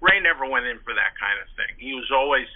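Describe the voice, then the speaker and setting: A man with a mid-pitched voice talks over a narrow telephone line. He is an articulate interview guest who unspools anecdotes in long, discursive, wordy sentences. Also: lively intonation, a little fast. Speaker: interview guest, pulp fiction historian. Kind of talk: conversational interview, telling anecdotes. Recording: band-limited phone-line sound.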